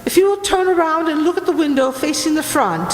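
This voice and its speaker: A woman's voice: high voice, animated, fairly quick. A woman playing an imperious, obsessive Italian noblewoman in a radio play, theatrical and grandly condescending as she tells ghostly legends. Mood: haughty disgust. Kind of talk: dramatic radio play acting. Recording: roomy, echoing hall, faint noise.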